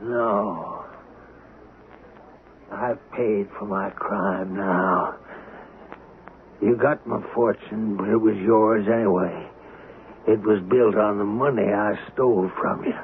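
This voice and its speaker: An actor playing a ruined but proud older man in a Western radio drama, halting and theatrical in his impassioned delivery. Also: fairly low pitch. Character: ruined, proud old swindler turned pleading derelict. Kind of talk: 1970s radio drama acting. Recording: muffled, noisy vintage radio recording.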